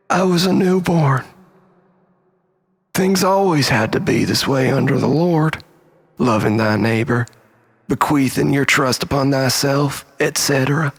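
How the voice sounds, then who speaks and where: A man telling a rambling, melodramatic story as a mock-philosophical monologue, in a husky, gravelly voice with long echo. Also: on the high side. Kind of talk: dramatic comic monologue storytelling. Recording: long reverberant hall echo.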